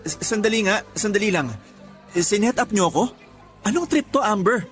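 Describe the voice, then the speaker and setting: An actor playing a defensive young boyfriend in a radio drama, flustered and protesting insistently. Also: male voice, high voice, animated, normal speed, a bit bright, faint music behind. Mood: shocked, indignant. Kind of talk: Tagalog radio drama acting.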